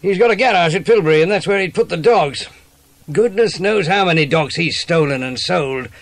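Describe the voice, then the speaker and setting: An actor playing a genial, grateful police inspector in a dramatised children's audiobook, chatty and hearty. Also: male voice, sits high, normal speed, no room sound. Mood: genial, pleased.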